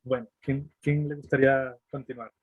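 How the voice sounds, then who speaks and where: A man's voice: medium pitch, flat delivery, normal speed, husky, no echo, narrow, tinny audio. A man moderating a group video call, giving brief, friendly prompts and thanks. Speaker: group facilitator.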